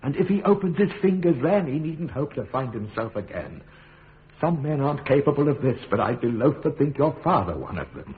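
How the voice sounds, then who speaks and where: An actor playing a gentle, principled, loving father in prison, expressive and reflective, in a radio drama. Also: medium pitch, brisk, close to an everyday voice. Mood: earnest, reflective. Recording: narrow, noisy radio recording.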